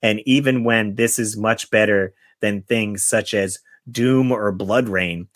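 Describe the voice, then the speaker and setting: A man's voice: lowish voice, fairly bright tone, no echo, studio quality. A man hosting a podcast, chatting casually and reading out film reviews. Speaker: podcast host.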